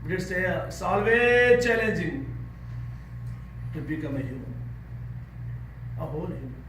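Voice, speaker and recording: A man's voice: high-pitched, a little slow. Man, Nepali accent, earnest guest speaker, repeats words for emphasis, distant mic, noisy echoing room. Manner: earnest, repeats words for emphasis, leads a call-and-response chant. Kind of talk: speech to a service club.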